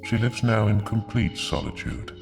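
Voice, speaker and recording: A man's voice: on the low side, moderate pace, vocal fry. A man playing a menacing video game villain who speaks in a sinister, mockingly formal way. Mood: sinister mock warmth. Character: menacing male villain running a deadly arena. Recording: music under the voice.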